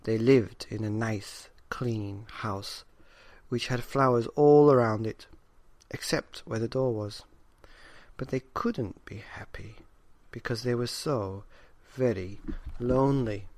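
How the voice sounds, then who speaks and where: A man's voice: medium pitch, takes it slowly, a little mellow, no room sound. A man narrating a children's bedtime story expressively, with dramatic, rhythmic emphasis.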